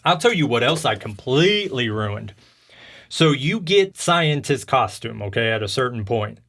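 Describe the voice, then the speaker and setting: A man's voice: mid-range voice, sing-song, moderate pace, vocal fry, a bit bright, a little hiss or hum. A man hosting a video game podcast, chatting casually in a rambling, slangy storytelling way. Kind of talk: casual chat about video games.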